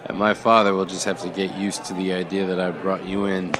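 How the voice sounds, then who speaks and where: Man doing a deep bossy gang leader, crowd noise all around. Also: level tone, brisk, natural voice. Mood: commanding. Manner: commanding, smooth. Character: cold commanding young male gang boss. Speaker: crime boss's son. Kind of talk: radio drama acting.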